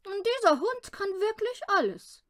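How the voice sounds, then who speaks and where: A woman voicing a pompous, self-satisfied little male magician in a children's storybook, with grandiose, drawn-out announcements. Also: extremely high pitch, big pitch swings, a bit bright, pitched above the performer's own voice, full character voice. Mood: showy, boastful.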